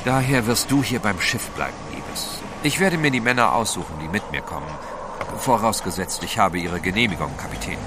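An actor playing a resolute gentleman adventurer in a German audio drama, formal, polite and commanding. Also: lowish voice, lively intonation, brisk, noisy background, lightly acted. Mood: determined.

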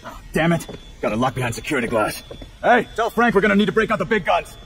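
A high-pitched, jumpy criminal henchman. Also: sing-song, quick pace, a bit bright, a little hiss or hum. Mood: irritated. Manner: tense, grumbling, barking orders. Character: gruff, jumpy male henchman, grumbling and alarmed. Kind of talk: video game dialogue.

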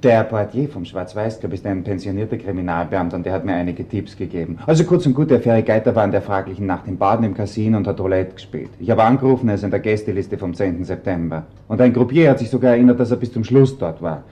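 An actor playing an eager, diligent junior police investigator in a crime radio play, reporting briskly with an Austrian accent. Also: male voice, fairly low pitch, mellow, lightly acted. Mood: pleased, reporting.

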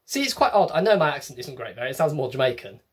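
A man's voice: mid-range voice, very animated, fast, slightly rough. A young English man, a comedian, talking to camera in a wry, chatty, self-mocking comic monologue from a small room. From England. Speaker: comedian, web series host. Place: small bedroom with bookshelves.

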